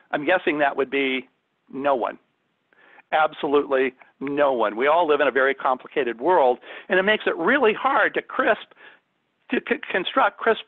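A man with a slightly high voice teaches an online business workshop. He speaks conversationally but with emphasis, putting questions to his listeners. The sound is narrow and phone-like, with very little room around it. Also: no echo. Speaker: corporate communications trainer. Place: indoors, on a video call. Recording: thin phone-like audio, dry.

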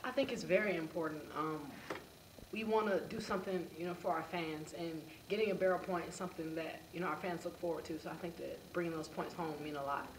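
Young woman, college player, slightly low voice, brief modest answers, echoey room. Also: steady tone, fast talker, slight background noise. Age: young adult.

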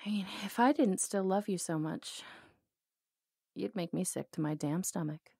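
A bold outlaw cowgirl played by a woman speaks low and mellow, very close and dry on the mic. She is sardonic, flirtatious and lovelorn, in the intimate style of an ASMR audio roleplay. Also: normal speed, vocal fry, breathy edge, no echo. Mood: scornful.

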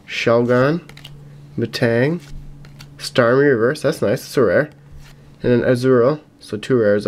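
Young male unboxing host, casual and matter-of-fact, mid-pitched, slightly raspy from a cold, home camera audio with faint noise. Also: a little mellow. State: getting over a cold. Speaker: YouTube host opening trading card packs. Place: indoors at a table in a home room. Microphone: camera mic at about arm's length. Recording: noisy room, card and wrapper crinkling. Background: crinkling packs, clicks, faint noise.

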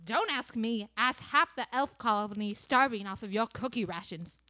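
A high-voiced, forceful heroine acted by a woman in a comic radio melodrama. She is emotional and torn, speaking with lovestruck intensity. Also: animated, moderate pace. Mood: bitter, scornful. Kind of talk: student radio comedy melodrama.

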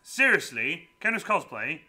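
A man hosts a live stream from home, chatting with viewers and a guest. His voice is very bright and swings widely in pitch, a little high for a man. Faint music plays under the talk. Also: a little hiss or hum. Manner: lively, chatty, quick asides to viewers. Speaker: streamer host. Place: home room.

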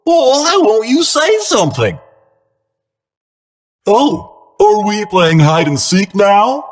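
A husky animatronic bear mascot from a horror video game, drenched in long reverb. The delivery is slow and swoops wildly, like a showman being playful and sing-song. Every friendly line carries sly menace. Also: medium pitch, full character voice. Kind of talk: theatrical video game character lines.

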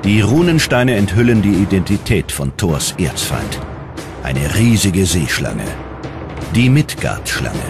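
Very deep dramatic male documentary narrator. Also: very animated, over loud music. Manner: dramatic, measured storytelling delivery.

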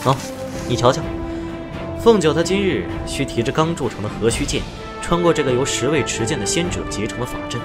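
An actor playing a chatty, teasing, genial immortal in an audio drama, with a playful, wry manner. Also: male voice, medium pitch, expressive, a little fast, close to an everyday voice. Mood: amused, wry. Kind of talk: Chinese audio drama acting. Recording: music behind the voice.

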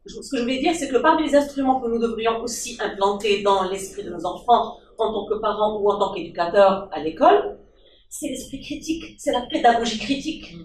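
A woman's voice: a little high, expressive, fairly quick, darkish tone, a little hiss or hum. A middle-aged woman speaking from the audience at a public debate, emphatic and questioning, into a handheld mic. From Morocco. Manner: emphatic, questioning, with rhetorical asides. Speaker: audience member. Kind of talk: impassioned debate contribution. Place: crowded indoor meeting room. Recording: echoey room from far off.

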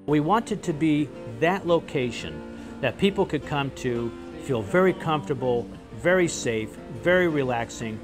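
A man in his fifties, a cafe owner, talking in a relaxed, friendly way in an interview. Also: a little high, unhurried, music in the background. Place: cafe with rustic walls, indoors.

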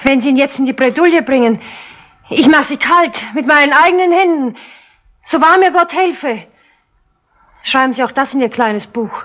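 A woman's voice: high voice, moderate pace, pauses often, a bit bright. An actress playing a feisty, loyal young woman, wary and defiant, in 1960s radio crime drama style. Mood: fierce, threatening. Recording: dull 1960s radio tape.

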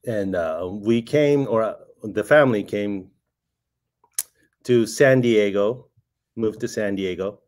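A man in his fifties, born in Japan, talking about his career over a video call in a soft-spoken, hesitant way. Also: on the low side, slow, long pauses. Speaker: guest artist. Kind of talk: casual conversational interview. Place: home room, indoors.

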